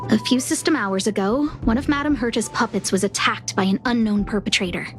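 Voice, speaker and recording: Game role: earnest, polite young female station leader voiced by a woman, fairly high voice over clear background music. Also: brisk, close to an everyday voice. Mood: earnest, concerned. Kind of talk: video game cutscene voice acting.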